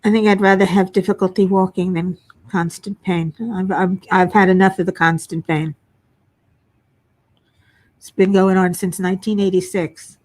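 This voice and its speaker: An older woman hosting a casual livestream from home, chatting and answering viewers, her voice at times a little low and raspy from a frog in her throat. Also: little pitch movement, normal speed, a little mellow, rough recording. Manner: chatty, self-deprecating, reads chat names aloud. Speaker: livestream host. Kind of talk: casual chat reading viewer comments. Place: home workspace.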